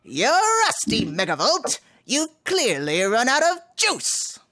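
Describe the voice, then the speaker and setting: A woman's voice: on the high side, sing-song, slow, bright, forceful tone, heavily acted. A woman voicing a stern, taunting cartoon hero with declamatory, scolding delivery in a live comic dub.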